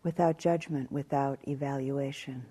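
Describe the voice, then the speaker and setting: A woman teaching guided meditation in a calm, measured way, leaving long pauses between short phrases. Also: very deep voice, husky.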